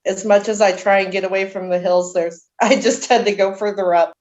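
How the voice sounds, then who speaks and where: A woman's voice: mid-range voice, steady tone, brisk, darkish tone, dull audio. A woman with a Californian American accent, talking casually and informally as an interview guest. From Sierra County, California, United States. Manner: informal, laughs easily. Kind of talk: casual conversation.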